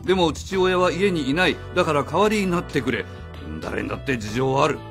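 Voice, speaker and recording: A man's voice: a little high, expressive, fairly quick, over music. A man playing an easygoing, evasive drifter in a radio drama, talking casually and hesitantly, with slangy phrasing. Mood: protesting. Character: easygoing, evasive drifter posing as a boy's father. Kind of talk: Japanese radio drama acting.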